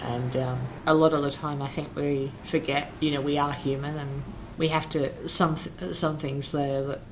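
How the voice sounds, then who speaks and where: A man talking as a guest in a casual interview, hesitant and halting with frequent restarts. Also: fairly high pitch, flat delivery. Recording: noisy background.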